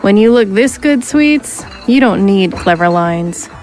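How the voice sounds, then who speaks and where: A swaggering, flirty space smuggler voiced by a woman trades playful banter at a leisurely, drawn-out pace. The delivery is cocky and relaxed, the sound of an audio drama character. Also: medium pitch, soft background music, lightly acted.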